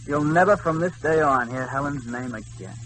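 A man's voice: fairly high pitch, moderate pace, very noisy, natural voice. An actor playing a haunted, lovestruck, obsessive man in melodramatic 1940s radio drama style. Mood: tender, ardent. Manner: intense, melodramatic, repeating himself. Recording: 1940s radio recording with music.

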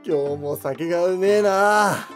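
A man playing a gruff, boozy, teasing yokai in a Japanese fantasy comedy voice drama, with rough slangy banter. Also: high voice, slow, music in the background. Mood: boozy, grumbling.

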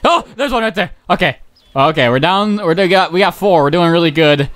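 Young male game commentator, smooth voice, hoarse, rambling and excitable, many ums. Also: high voice, a little fast. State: voice going hoarse. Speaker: gaming channel host. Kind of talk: casual gameplay commentary.

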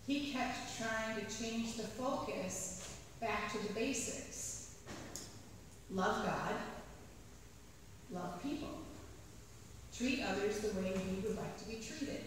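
A woman's voice: on the high side, slight background noise. Woman preaching in an echoey church. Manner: measured, slows to stress key phrases. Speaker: priest. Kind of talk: read sermon. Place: large church sanctuary. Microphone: pulpit mic at a distance. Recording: echoey church hall from far off.

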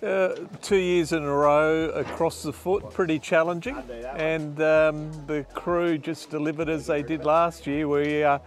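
An older man, a yacht owner talking upbeat and halting after a race, outdoors at a marina at night on a lapel mic. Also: on the high side, faint music behind. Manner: relaxed, upbeat, halting with fillers. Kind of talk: post-race interview.

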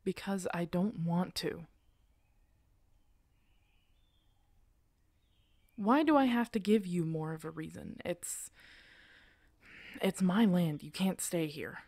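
A woman playing a guarded, protective lone werewolf in an intimate ASMR audio roleplay, earnest and halting. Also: fairly low pitch, darkish tone, no echo. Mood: reluctant, pleading. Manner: earnest, halting, weary sighs.

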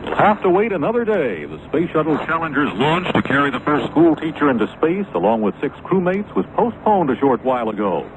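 A man's voice: a little high, sing-song, fairly quick. A man anchoring a radio news bulletin, introducing a live report, on a noisy shortwave recording. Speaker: radio newscaster.

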